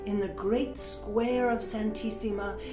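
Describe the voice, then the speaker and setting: An actress plays a grown woman poet reflectively recalling her childhood, reciting verse with measured, deliberate phrasing in an audio drama. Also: mid-range voice, fairly even intonation, natural voice. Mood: solemn, wistful. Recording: roomy, echoing, music underneath. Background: musical instrument playing.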